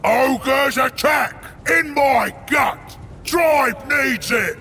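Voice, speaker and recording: An actor voicing a huge, brutish, ravenous male ogre warrior in a video game, barking short blunt war cries in a gruff, gravelly voice. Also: extremely high pitch, extremely slow, sharp tone, raised voice, full character voice. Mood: bloodthirsty, aggressive. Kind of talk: video game unit battle barks.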